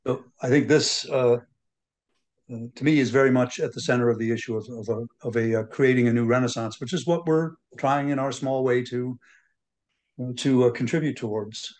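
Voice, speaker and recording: Guy talking slow n thoughtful, lots of uhs, mid pitch. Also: fairly even intonation, a little mellow. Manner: halting, many "uh"s. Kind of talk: reflective discussion.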